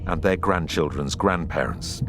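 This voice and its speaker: A man's voice: deep voice, a little slow. A man narrating a bedtime story audiobook. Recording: music behind the voice. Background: music.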